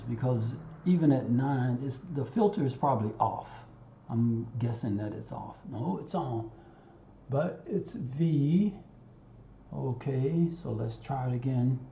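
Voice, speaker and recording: Male tutorial instructor, very mellow dark tone, halting think-aloud delivery, roomy muffled recording. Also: mid-range voice. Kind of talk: unscripted screen-recording tutorial narration. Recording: roomy, muffled, audible background noise.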